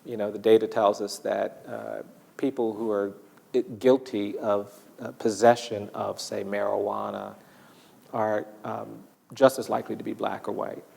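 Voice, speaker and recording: A man giving measured, explanatory interview commentary, deliberate and emphatic in his delivery. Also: fairly low pitch, level tone, gravelly, darkish tone, breathy edge, in a large hall. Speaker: documentary interviewee.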